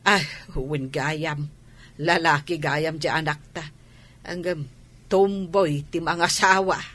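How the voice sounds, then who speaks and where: A woman's voice: sits low, steady tone, takes it slowly, a bit bright, exaggerated voice. A woman playing a worried, protective mother, pleading and reproachful, in a radio drama. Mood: firm, defensive.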